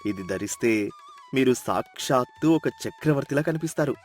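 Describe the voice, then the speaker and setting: A man's voice: medium pitch, lively intonation, normal speed, soft background music, natural voice. A man voicing a wily young peasant son who talks in a coaxing, deferential way in a moral story. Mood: flattering.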